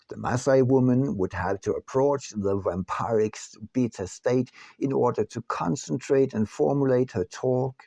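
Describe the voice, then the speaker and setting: Guy narrating his own book, measured and pausing a lot, really lively pitch swings, close dry sound. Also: vocal fry, no echo. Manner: deliberate, measured, with frequent pauses. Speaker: author reading own book aloud. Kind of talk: scripted audiobook narration.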